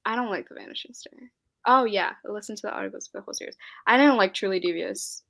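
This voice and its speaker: A woman's voice: mid-range voice, quick pace, creaky, a bit bright. A young woman hosting a casual live-stream book chat, giggly and scattered, reading viewer comments aloud. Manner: giggly, scattered, laughs often.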